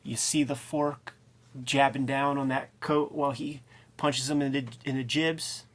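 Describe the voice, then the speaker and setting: A man's voice: mid-range voice, level tone, unhurried, a bit bright. A man co-hosting a review show in casual, slangy, rambling commentary. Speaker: co-host of a comics review show.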